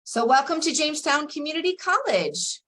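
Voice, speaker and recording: A woman's voice: sits high, sing-song, normal speed, pushed and bright. Welcoming woman presenting. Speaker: college administrator presenting. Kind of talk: webinar presentation with slides. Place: video call.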